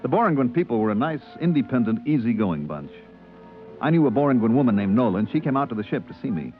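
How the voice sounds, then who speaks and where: An actor playing an easygoing spaceship purser in a 1950s radio drama, with a colloquial manner. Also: male voice, mid-range voice, big pitch swings, fast talker, close to an everyday voice. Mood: reflective narrating. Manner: colloquial, hesitant in dialogue. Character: easygoing spaceship purser recounting his voyages. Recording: tinny vintage radio broadcast, music behind.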